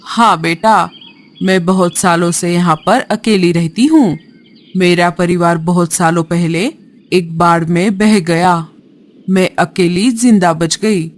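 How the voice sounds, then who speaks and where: A woman voicing an elderly female bird in a cartoon, motherly and unhurried in manner. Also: fairly low pitch. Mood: gentle, sorrowful. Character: old yellow bird, kindly grandmother turned gloating witch. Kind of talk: Hindi cartoon character voice.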